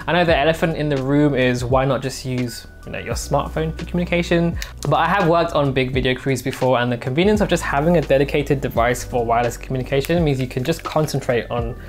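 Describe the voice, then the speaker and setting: A man's voice: mid-range voice, quick pace. A man, presenting a product review to camera in a small home studio. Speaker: tech reviewer and host. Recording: music bed under the voice. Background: background music.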